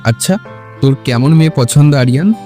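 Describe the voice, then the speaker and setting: A man's voice: medium pitch, a little fast, dark tone, soft background music, lightly acted. A man playing a blunt young friend in an audio story, prodding with questions. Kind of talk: Bengali audio story acting.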